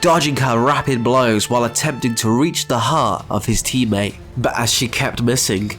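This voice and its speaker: A man's voice: medium pitch, normal speed, a bit bright, faint music behind. A man reading scripted, dramatic story narration as the narrator.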